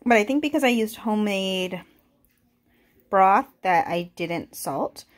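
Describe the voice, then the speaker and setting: A woman vlogging casually as she cooks at home, chatty and relaxed with frequent ums, her voice a little congested. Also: medium pitch, unhurried. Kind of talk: casual kitchen vlog narration. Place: home kitchen.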